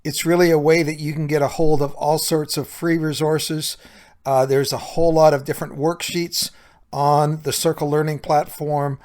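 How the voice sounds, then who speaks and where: Male podcast host and coach, slightly high voice, conversational, earnest, explanatory delivery. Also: flat delivery, moderate pace, darkish tone. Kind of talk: conversational solo podcast talk.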